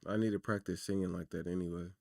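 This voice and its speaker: A man hosting a casual livestream, talking loosely and chattily to his viewers with a naturally raspy voice. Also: low voice, fairly even intonation, quick pace, darkish tone, no room sound, studio quality. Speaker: livestream host.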